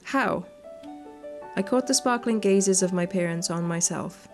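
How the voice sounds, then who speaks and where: A woman's voice: mid-range voice, a little slow. A woman hosting a gaming video, reading a horror story game aloud with unscripted reactions. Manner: sweary, unscripted asides. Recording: faint music behind the voice. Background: game music.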